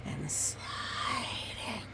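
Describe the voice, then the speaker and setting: A woman reading a picture book aloud in storytime style, with expressive, drawn-out dramatic phrasing and chatty asides. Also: heavy background noise.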